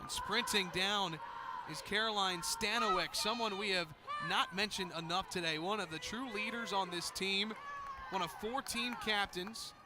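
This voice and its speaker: High-pitched American man calling a game. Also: moderate pace. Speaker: play-by-play announcer. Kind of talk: live sports commentary. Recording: clear outdoor stadium noise behind. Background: outdoor ambience of a game.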